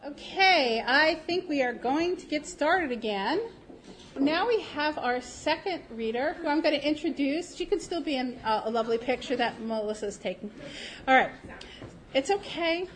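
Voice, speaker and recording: A woman hosts a reading series and introduces the next author in a chatty, rambling way, full of restarts and asides. Her voice is high. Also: expressive, moderate pace, a little hiss or hum. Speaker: event host.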